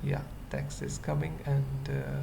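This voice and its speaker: A coding tutorial presenter thinking aloud in short, halting fragments during an unscripted screencast. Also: medium pitch, little pitch movement, vocal fry. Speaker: web development tutorial presenter. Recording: noisy mic, keyboard typing. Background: computer keyboard typing.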